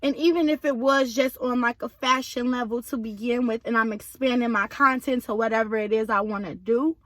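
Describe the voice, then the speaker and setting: Hoarse, high, bright-voiced woman vlogger. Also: steady tone, fairly quick, no echo. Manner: chatty, addresses viewers directly. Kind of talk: casual chat to viewers.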